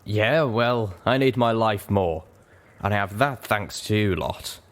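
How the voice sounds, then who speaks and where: A man's voice: fairly low pitch, measured pace, natural voice. A man voicing a grateful, brash female griffin gunner in a story reading. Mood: insistent.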